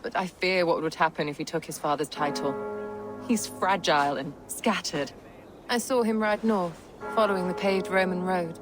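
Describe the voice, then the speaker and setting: A woman playing an earnest, troubled Saxon noblewoman in a video game, protective and measured in her speech. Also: lowish voice, over music, lightly acted. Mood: worried, regretful. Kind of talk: video game cutscene acting.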